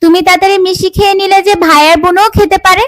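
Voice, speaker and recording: A woman acting as a bossy little girl in a doll cartoon, with a very high voice. She talks fast and urgently, impatient and chiding, in a dry close sound. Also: fairly even intonation, fairly bright tone, dry recording. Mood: impatient, urging. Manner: chiding, urging. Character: impatient, bossy little daughter urging her father. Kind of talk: children's doll-story voice acting.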